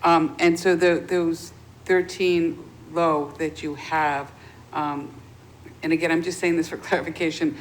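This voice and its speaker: A woman's voice: low voice, little pitch movement, unhurried, a little hiss or hum, poor audio. A woman serving as committee clerk, asking polite, careful clarifying questions at a city meeting.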